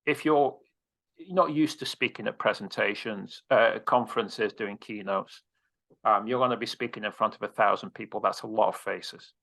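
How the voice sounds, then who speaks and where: A man's voice: on the low side, moderate pace, creaky, fairly bright tone. A man coaching on presentations, answering interview questions in a direct, casual way with casual swearing.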